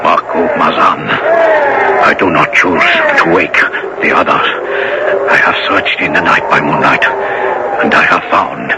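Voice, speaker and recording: Proud, grave African guide, radio adventure; extremely low voice, measured formal delivery, heavy noise on old recording. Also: male voice, wildly swooping pitch, full character voice. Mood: solemn, urgent. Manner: formal, measured, stately phrasing. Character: solemn, proud African male guide of few words. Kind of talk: 1970s radio drama acting.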